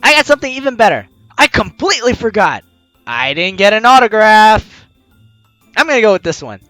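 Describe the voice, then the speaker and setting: A man's voice: high-pitched, brisk, no room sound. A man hosting a let's play, expressively reading game text aloud and commenting, on a close mic with music behind. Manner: expressive, acts out lines as he reads.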